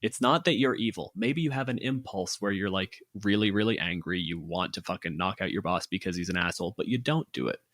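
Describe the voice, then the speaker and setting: Lowish voiced guy explaining stuff, blunt, sweary, chatty, dry recording. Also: brisk, hardly any pauses, a bit bright, no echo. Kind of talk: casual discussion.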